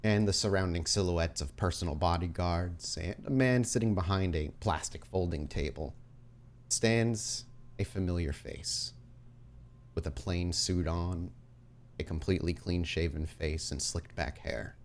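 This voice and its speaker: Low-voiced man narrating as game master. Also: unhurried, slight background noise. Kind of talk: narrating a tabletop roleplaying game.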